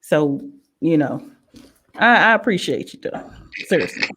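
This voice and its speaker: A woman's voice: deep voice, lively intonation, unhurried, background noise. A woman with an American accent, hosting a radio interview in a casual, conversational style. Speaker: radio show host.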